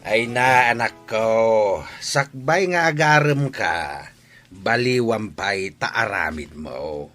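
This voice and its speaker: A man's voice: on the low side, expressive, slow pace, fairly bright tone, faint music behind. A man playing a stern, scolding father of a grown son, lecturing in a radio drama. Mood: admonishing. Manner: admonishing, lecturing.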